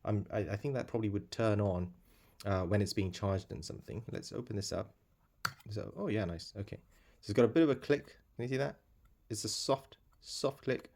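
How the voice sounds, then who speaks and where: A man's voice: lowish voice, fairly quick, creaky, a little mellow. A man giving casual unboxing commentary as a product reviewer, rambling as he thinks aloud and repeats himself.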